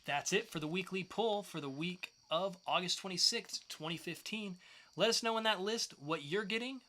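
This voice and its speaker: A male podcast co-host with a bright, forceful voice that sits a little high. He presents scripted segments in an upbeat style. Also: moderate pace.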